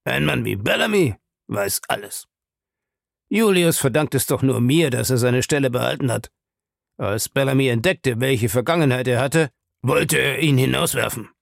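Guy playing a shady pushy schemer in an audiobook, super gravelly, insistent and sneering. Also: medium pitch, lively intonation, normal speed, no room sound, studio quality. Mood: scornful. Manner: insistent, ironic, scornful. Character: shady, pushy, wheedling male schemer with scornful streak. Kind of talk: audiobook character dialogue.

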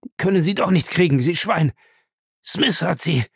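A man's voice: fairly high pitch, animated, moderate pace, no room sound, clean studio sound, pitched above the performer's own voice. A man voicing a cowardly, beaten crook, defiant and terrified, gasping in desperation in an audiobook. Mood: desperate, defiant. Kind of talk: audiobook character acting.

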